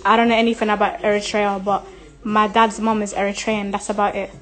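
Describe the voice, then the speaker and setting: A woman's voice: mid-range voice, little pitch movement, fairly quick, a bit bright. A young woman in her twenties chatting casually on a phone livestream, reading viewer questions and answering bluntly with lots of swearing. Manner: blunt, profane, laughing, reads comments aloud. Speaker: social media personality answering viewer questions. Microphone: phone held close, selfie framing.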